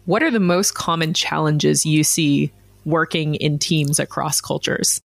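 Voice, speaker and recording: American podcast host guy, a bit high voice, dry close mic, curious and friendly. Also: steady tone, measured pace, gravelly, a bit bright, no echo. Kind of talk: podcast interview.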